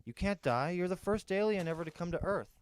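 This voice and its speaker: A man's voice: sits high, expressive, a little fast, slight background noise, pitched above the performer's own voice. A man voicing an earnest, awestruck young boy in a novel reading, with urgent emotional pleading. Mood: upset, pleading.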